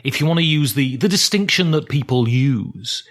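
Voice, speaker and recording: A man's voice: mid-range voice, expressive, normal speed, a bit bright. A man co-hosting a casual podcast about board games, joking and swearing freely, mocking himself. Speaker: podcast co-host.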